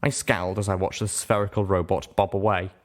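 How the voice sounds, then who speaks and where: A man's voice: deep voice, little pitch movement, fairly quick. A man reading a scripted first-person adventure story as an audiobook narrator.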